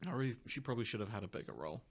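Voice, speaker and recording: A man's voice: on the low side, animated, very fast, slightly rough. A man hosting a podcast, chatting casually and comically with co-hosts in a jokey, giggly way. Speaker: podcast host. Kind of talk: casual chat and comic reading aloud with co-hosts.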